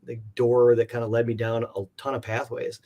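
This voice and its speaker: A man's voice: mid-range voice, level tone, moderate pace, mellow. A man speaking as an interview guest, chatting casually in a rambling, reflective and enthusiastic way. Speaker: interview guest, art collector. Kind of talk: casual chat.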